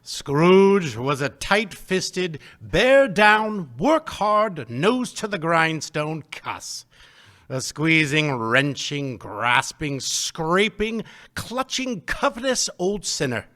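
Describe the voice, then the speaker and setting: A man narrating a live radio play with deliberate, emphatic storytelling. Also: fairly high pitch, sing-song, bright, forceful tone.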